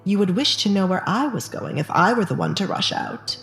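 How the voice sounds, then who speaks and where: A woman playing a sharp-tongued, calculating wife who speaks insistently in an audio drama. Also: medium pitch, lively intonation, brisk, vocal fry, faint music behind. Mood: indignant. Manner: scolding, insistent.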